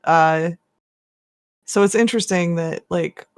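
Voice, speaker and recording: An American woman talks as a co-host on a casual gaming podcast. Her voice sits a little low. She speaks in a tentative, musing way, thinking aloud as she goes. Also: slow pace, clean tone. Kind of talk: casual video game discussion.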